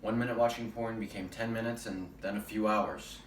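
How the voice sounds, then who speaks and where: A man's voice: lowish voice, steady tone, fairly quick, natural voice. A man playing a guilt-ridden young man with a secret addiction, in a rehearsed stage monologue. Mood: guilty confession. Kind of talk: rehearsed readers theater monologue. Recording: roomy distant mic with background noise.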